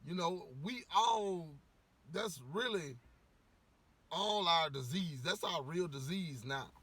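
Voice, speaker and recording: Husky, blunt man ranting to camera. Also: high-pitched, slow pace, a bit bright. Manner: rambling, repeats words, frequent "you know"; blunt, swears freely. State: losing his voice a little. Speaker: vlogger giving opinions. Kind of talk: casual rant to camera.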